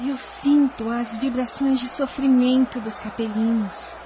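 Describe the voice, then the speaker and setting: A woman playing a venerable spiritual leader in an audio drama, earnest, deliberate and formal. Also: fairly high pitch, steady tone, noisy background, close to an everyday voice. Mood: earnest, concerned.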